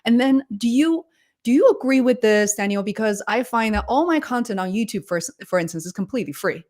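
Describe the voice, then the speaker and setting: A woman's voice: on the high side, expressive, brisk, a little mellow. A woman hosting a livestream interview over a video call, talking in an enthusiastic, effusive conversational way. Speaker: livestream host.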